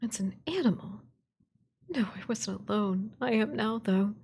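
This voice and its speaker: A woman's voice: medium pitch, darkish tone, a little hiss or hum. Woman acting frightened, half-whispering female caller. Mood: panicked, hushed. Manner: breathy, halting, half-whispered delivery. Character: frightened woman caller lost alone in the woods. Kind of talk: radio play acting.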